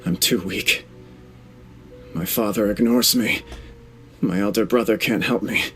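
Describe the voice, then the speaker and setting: Earnest young noble heir, mid-pitched, steadying himself to act; game cutscene with faint music. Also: male voice, a little slow, a bit bright. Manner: earnest, resolute.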